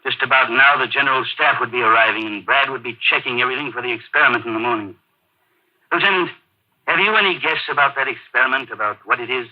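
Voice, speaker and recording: An actor playing a weary, middle-aged Air Force general with a grave, authoritative manner in a 1950s radio drama. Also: male voice, medium pitch, quick pace, lightly acted. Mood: anxious. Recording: dull old radio broadcast.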